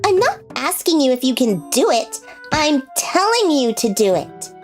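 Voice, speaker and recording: Very high-pitched bossy schoolgirl. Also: very animated, unhurried, soft background music. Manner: scornful, commanding. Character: bossy, haughty, popular teenage schoolgirl. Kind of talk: manga dub acting.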